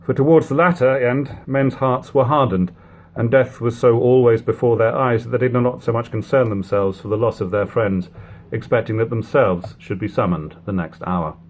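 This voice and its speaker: A man reading an old literary book aloud in a measured, phrase-by-phrase narration, on a lo-fi home recording. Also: medium pitch, a little mellow. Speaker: audiobook reader. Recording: lo-fi home recording, background hum, noise.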